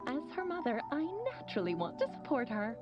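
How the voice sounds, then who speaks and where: A woman's voice: on the high side, sing-song, fast, highs cut off. An actress playing a breezy, theatrical sorceress mother in a video game, chatty and teasing. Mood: playful. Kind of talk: English video game voice acting. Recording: band-limited audio with music behind.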